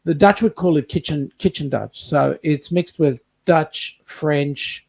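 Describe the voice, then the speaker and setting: A man's voice: fairly high pitch, slow pace, vocal fry, dry recording. Chatty man reading out a live chat. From South Africa. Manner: chatty, reads out viewer comments. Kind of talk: casual livestream chat.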